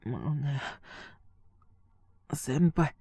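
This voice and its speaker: A man's voice: mid-range voice, very animated, very slow, creaky, mellow, slightly rough, no echo, heavily acted. A man playing a sick, sleepy young boyfriend in an audio drama, grumbling casually in a murmur, exasperated yet fond. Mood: irritated, tired. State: coughing, acting sick with a cold. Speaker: voice actor. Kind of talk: Japanese situation voice drama aimed at listeners.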